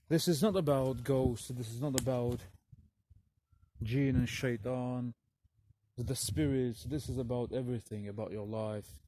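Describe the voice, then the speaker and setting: A young man whose first language is Russian talks to viewers in slow, halting English, sighing and searching for words, alone in a forest at night. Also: mid-range voice, dark tone, a little hiss or hum. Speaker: vlogger.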